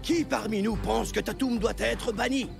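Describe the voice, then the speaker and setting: An actor playing a solemn elder chief who presides over a prehistoric council in a cartoon, speaking in a declamatory way. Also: male voice, very high voice, fairly even intonation, normal speed, creaky, music in the background, full character voice. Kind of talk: cartoon voice acting, French dub.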